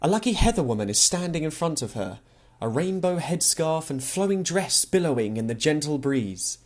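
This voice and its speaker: A man's voice: on the high side, sing-song, normal speed, a little mellow. Man reading a literary audiobook. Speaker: audiobook narrator. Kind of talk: expressive literary audiobook narration.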